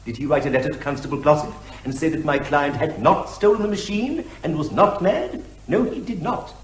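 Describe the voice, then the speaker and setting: An actor playing a verbose barrister who argues rhetorically and is elaborately deferential to the judge. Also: male voice, on the high side, expressive, brisk, roomy sound, close to an everyday voice. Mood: declamatory, insistent. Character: verbose, theatrical male barrister, obsequious to the judge. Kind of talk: comic courtroom advocacy.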